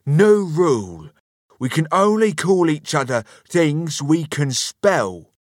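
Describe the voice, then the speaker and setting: Man playing foolish bossy husband, wailing and demanding, animated, raised pitch, children's storybook. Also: very slow, dry recording, clean studio sound, exaggerated voice. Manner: blustering, demanding.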